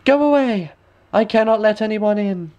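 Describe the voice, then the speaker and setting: A man's voice: high-pitched, normal speed, darkish tone, clean tone, pitched above the performer's own voice, lightly acted. A man voicing an innocent, cautious young princess from a storybook, speaking earnestly. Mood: cautious, firm.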